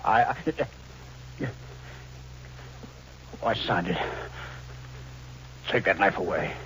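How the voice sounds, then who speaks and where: An actor playing a scheming, cowardly killer in a 1940s radio thriller, with theatrical delivery. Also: male voice, low voice, a little slow, a bit bright. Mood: frightened. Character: scheming, cowardly male killer framing a drifter. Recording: muffled old radio recording, some hiss.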